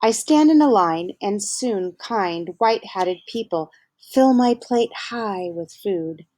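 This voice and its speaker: A woman reading a children's novel aloud in a gentle storytelling manner, pausing to ask her listeners questions. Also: mid-range voice, expressive, no echo. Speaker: read-aloud narrator.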